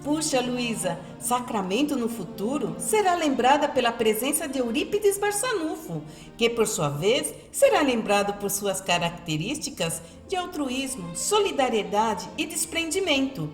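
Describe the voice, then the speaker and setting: A woman acts an admiring young-hearted spirit in a Brazilian radio drama. Her voice is fairly high and animated, full of wonder. It rings in a roomy echo over soft music. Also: measured pace, few pauses. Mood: admiring, curious. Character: eager, admiring female spirit, a pupil.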